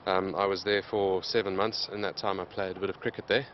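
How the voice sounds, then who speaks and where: Interview subject: man in his late 20s with a low voice, talking steadily with lots of "um"s, in a dull, lo-fi outdoor recording. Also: slight background noise. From Zimbabwe. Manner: steady, plain, many "um" pauses. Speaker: interviewed athlete. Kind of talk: casual interview answers.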